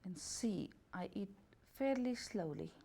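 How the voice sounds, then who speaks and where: Woman, gravelly, dark mellow tone, health therapist, reading options aloud, unhurried, slightly non-native grammar. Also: mid-range voice, expressive. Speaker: holistic health and beauty therapist. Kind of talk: reading out a questionnaire.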